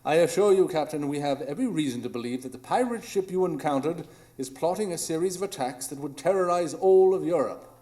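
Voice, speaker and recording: A man's voice: fairly high pitch, animated, fairly quick, hardly any pauses, mellow, close to an everyday voice. A man playing a brilliant, coolly analytical detective with a formal, precise manner in a live radio drama. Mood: urgent, assured. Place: small improv theatre stage.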